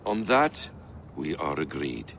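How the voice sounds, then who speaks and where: An actor playing a worldly master assassin and mentor in a dramatic video game, composed and precise. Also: male voice, very deep voice, big pitch swings, slow pace, gravelly, fairly bright tone, slight background noise, full character voice. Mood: measured.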